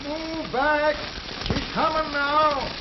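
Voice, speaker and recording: An actor playing a plain-spoken cowboy hand with clipped rustic replies in a 1930s radio Western. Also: male voice, very high-pitched, fairly even intonation, takes it slowly. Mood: busy. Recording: dull, very noisy 1930s radio recording.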